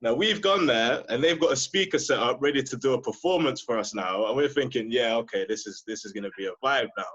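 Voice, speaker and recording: A man's voice: medium pitch, fast, hardly any pauses, fairly bright tone. A man telling a laid-back story on a video call, dropping in questioning tags as he goes. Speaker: host's brother, guest on a video call. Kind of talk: casual storytelling. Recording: dull lo-fi video call audio.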